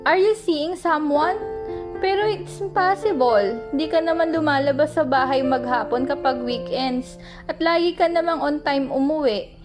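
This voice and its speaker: A high-voiced Filipino mother, acted by a woman, proud and protective of her grown child. She speaks tenderly and pryingly in an amateur radio play, over prominent background music. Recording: music playing behind the voice.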